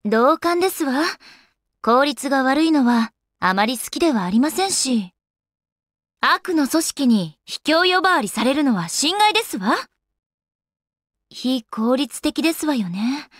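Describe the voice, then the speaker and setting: Refined anime game goddess lady, high voice, proper n polite, super dry clean sound. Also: big pitch swings, a little slow, fairly bright tone, no room sound, heavily acted. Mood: composed, polite. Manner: polite, ladylike, composed feminine speech. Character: elegant young goddess, refined lady and avid gamer. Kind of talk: Japanese video game character voice acting.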